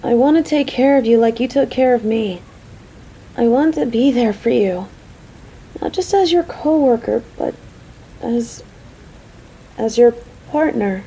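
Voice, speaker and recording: A woman's voice: fairly high pitch, a little slow, pauses often, mellow, slight background noise, poor audio. A woman playing a caring, motherly female coworker who frets over the person close to her, earnest and affectionate in a romantic audio roleplay. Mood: tender, earnest. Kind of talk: scripted romantic audio roleplay spoken to the listener.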